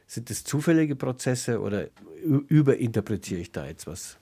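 A man interviewing on the radio about literature. His voice is mid-pitched and mellow, and he frames his questions in a thoughtful, analytical manner. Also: very animated, moderate pace, husky.

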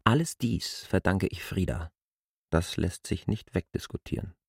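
An actor playing an argumentative, self-justifying land surveyor who reasons deliberately and formally in a literary radio drama. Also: male voice, sits low, husky, no echo, studio quality, full character voice. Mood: earnest, defiant.

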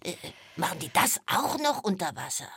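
Eine Frau spielt für einen Kinderpodcast eine kichernde, aufgeregte Hyäne mit rauer Stimme, die stammelt und alberne Witze erzählt. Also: female voice, lowish voice, big pitch swings, moderate pace, a bit bright, husky, no room sound, exaggerated voice. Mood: giggly, joking. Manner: stammering, cackling, exaggerated delivery. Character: giggly, excitable female hyena who tells corny jokes. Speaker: comic sidekick of a children's podcast. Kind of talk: children's podcast comedy.

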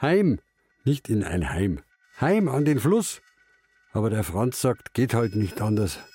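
A man's voice: fairly low pitch, big pitch swings, a little slow, dark tone, slightly rough, full character voice. An actor playing a stubborn, bitter old man after a stroke, grumbling tersely and narrating himself. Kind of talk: stylised stage-drama narration and dialogue.

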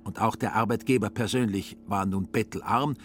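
A man with a fairly low voice narrates a radio play. He tells the story in a dry, ironic way, with music clearly playing behind him. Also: fairly even intonation, normal speed.

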